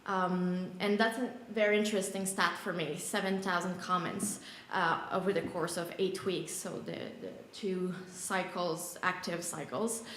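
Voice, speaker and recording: A woman's voice: on the low side, fairly even intonation, measured pace, few pauses, fairly bright tone, slight background noise. A woman with a French accent presenting at a conference panel, speaking hesitantly with many fillers. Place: large event room. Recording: roomy hall with faint ambience.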